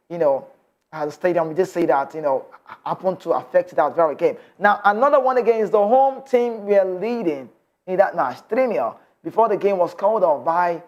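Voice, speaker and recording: Nigerian male sports host, high register, passionate opinionated delivery, slightly muffled recording. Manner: passionate, mixes in Nigerian Pidgin. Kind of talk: sports news reading and opinionated commentary.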